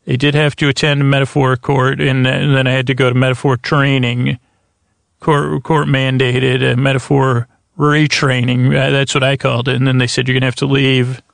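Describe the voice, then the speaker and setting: A man with a heavily creaky, gravelly voice at an average pitch hosts a sleep podcast. He meanders through a bedtime monologue, stumbling over words and cutting himself off for tangents. The sound is close and dry. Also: level tone, moderate pace, no room sound. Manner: meandering, stammering, self-interrupting, trailing off into tangents. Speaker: podcast host.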